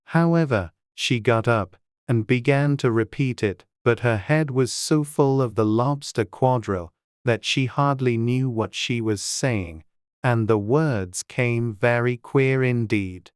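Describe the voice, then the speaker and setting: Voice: mid-range voice, a little slow, smooth, no echo. Dry, close storybook narrator. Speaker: audiobook narrator.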